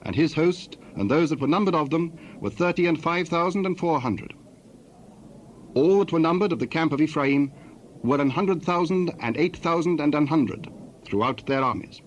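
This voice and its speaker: Higher-voiced man narrating scripture. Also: expressive. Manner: formal, measured reading. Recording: dull sound, faint background noise.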